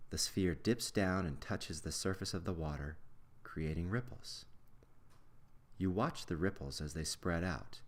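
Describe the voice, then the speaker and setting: A man narrating a scripted guided visualization in a measured, instructional way with deliberate pauses. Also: low voice.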